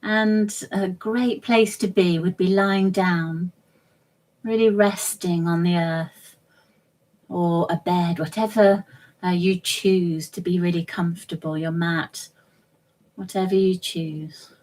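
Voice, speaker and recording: A woman leading a guided relaxation as a yoga nidra instructor, speaking gently and soothingly in short, measured phrases with long pauses. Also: fairly low pitch, steady tone.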